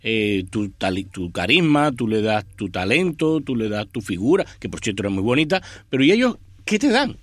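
Lively man asking a guest questions. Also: fairly low pitch, moderate pace, few pauses, no room sound.

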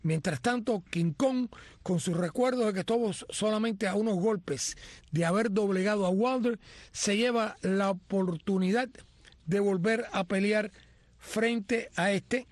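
A man reading a scripted yearly sports review as a commentator, in an earnest, measured way. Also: high voice, no echo.

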